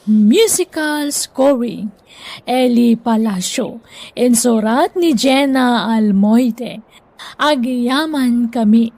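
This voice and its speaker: Slow, high-voiced woman narrator. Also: darkish tone, no echo, rough recording. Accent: Ilocano. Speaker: drama narrator. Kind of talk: scripted narration with moral and credits.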